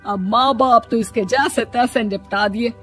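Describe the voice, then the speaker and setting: A woman voicing a sharp-tongued, middle-aged Indian mother-in-law in a cartoon moral story, scolding and sarcastic. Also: a little high, unhurried, natural voice. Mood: complaining. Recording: music under the voice.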